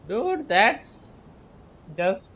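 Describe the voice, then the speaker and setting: High-voiced Indian man streaming games. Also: big pitch swings, drawn-out words, fairly bright tone, highs cut off, slight background noise. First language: Hindi. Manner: mixes Hindi and English. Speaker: game streamer. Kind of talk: casual live gameplay commentary. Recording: game music behind the voice.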